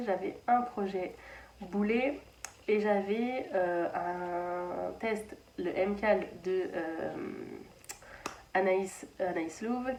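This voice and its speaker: A woman's voice: mid-range voice, a little mellow, echoey room, recorded from a distance, slight background noise. A woman from Anjou in France, hosting a casual knitting podcast from a children's playroom at home, chatty and rambling with many fillers and restarts. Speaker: knitting channel host.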